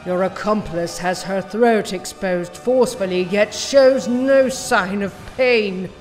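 Villainous woman scientist, acted: imperious, disdainful, unhurried delivery, long reverb, faint music. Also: medium pitch, darkish tone, natural voice. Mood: fascinated, haughty. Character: haughty, scornful female renegade scientist. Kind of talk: audio drama acting.

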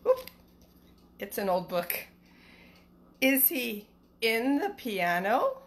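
Middle-aged woman, schoolteacher at storytime. Slow, fairly high voice, casual with young listeners, recorded on a phone at home. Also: very animated. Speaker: elementary school teacher reading storytime. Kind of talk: casual livestream chat and picture-book read-aloud for children. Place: small living room at home, indoors. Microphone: phone at arm's length. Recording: some background noise, home phone recording.

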